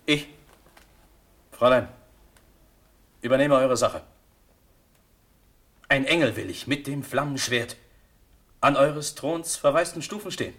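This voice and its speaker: An actor playing an ardent, gallant young prince in impassioned verse for a German radio drama. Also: male voice, medium pitch, a little slow, long pauses. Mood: fervent, vowing. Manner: impassioned, swinging from hushed to declaiming.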